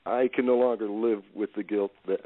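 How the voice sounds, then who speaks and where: A man's voice: lowish voice, steady tone, moderate pace, no echo, natural voice. An actor playing a middle-aged man with guilt to hide, cornered, fearful and defensive in an audio drama. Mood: broken, remorseful. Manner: agitated, incredulous, pleading. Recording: phone-like lo-fi line.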